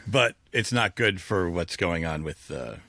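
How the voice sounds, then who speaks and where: A man with a fairly low but sharp, bright voice. He sits on a podcast panel and discusses film casually, in an informal, halting manner. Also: lively intonation.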